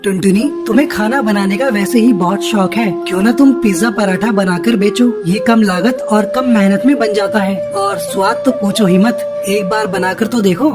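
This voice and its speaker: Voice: mid-range voice, normal speed, few pauses, lightly acted. A performer voicing an encouraging young male bird, a friendly schoolmate in a cartoon story. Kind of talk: Hindi cartoon story acting. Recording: music under the voice.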